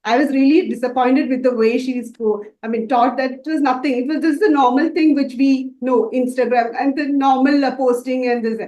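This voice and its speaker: A woman's voice: high voice, fairly even intonation, moderate pace, hardly any pauses, a little mellow. Hesitant woman on an echoey call. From Dubai. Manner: hesitant, many fillers and restarts. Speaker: makeup artist student. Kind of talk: interview answers.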